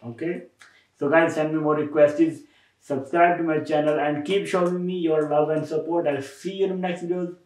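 Young pakistani guy chatting eagerly to viewers, kinda higher voice, echoey room, mic a bit far off. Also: steady tone, moderate pace, a little mellow. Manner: enthusiastic, repetitive, direct appeals to viewers. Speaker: reaction video host. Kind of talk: casual reaction commentary. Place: bedroom indoors. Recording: roomy sound, mic at a distance.

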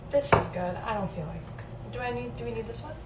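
A young woman chatting and cooking in a home kitchen, talking in a lively, playful way. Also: a little high, fairly quick. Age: young adult. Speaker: friend cooking. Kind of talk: casual chat. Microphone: phone camera at a distance. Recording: roomy, distant phone audio with noise.

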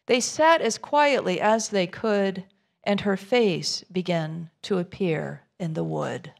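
A woman's voice: mid-range voice, takes it slowly, creaky. A middle-aged woman minister reading a rhyming children's story aloud, expressively, with informal asides to her listeners.

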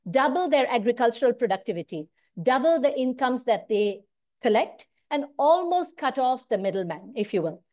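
An earnest, animated woman in her forties, originally from India, speaking as a senior business leader. Her voice sits a little high and she keeps checking in with "right?" as she explains. The sound comes through an earphone mic on a video call from a home room. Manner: earnest, animated, often tags lines with "right?". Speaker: consulting firm managing partner. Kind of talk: direct-to-camera talk on career and work. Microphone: wired earphone mic on a video call.

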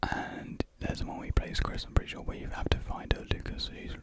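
Young man whispering ASMR. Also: fast. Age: young adult. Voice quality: breathy unvoiced whisper. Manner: soft, rambling, hesitant. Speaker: ASMR video creator. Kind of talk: ASMR whispered casual ramble about video games. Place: small room with painted block walls. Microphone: large desktop USB condenser microphone, close up.

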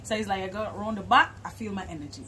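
A young adult woman with a Jamaican accent, chatting in an animated, informal way as a musician being interviewed. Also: medium pitch, rapid-fire, a little hiss or hum. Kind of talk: casual interview chat. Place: outdoors on a porch by a garden.

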